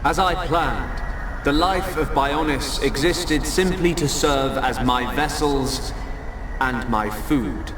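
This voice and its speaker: Slow, echoing arrogant god played by a man. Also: mid-range voice, background noise, natural voice. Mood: lofty, contemptuous. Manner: pompous, measured, condescending. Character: arrogant godlike creator, male, serene and contemptuous. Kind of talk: dramatic game cutscene acting. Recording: echoing voice under loud score.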